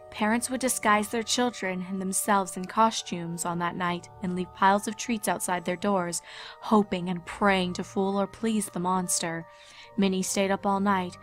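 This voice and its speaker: Ominous female storyteller over music. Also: mid-range voice. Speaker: storyteller, narrator. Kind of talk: scripted horror-tale narration.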